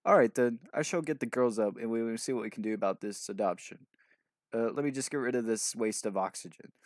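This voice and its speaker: A man's voice: medium pitch, brisk, dry recording, clean studio sound, lightly acted. A man voicing a cruel, haughty woman who runs an orphanage, curt and scolding, in a story reading. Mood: cold, curt.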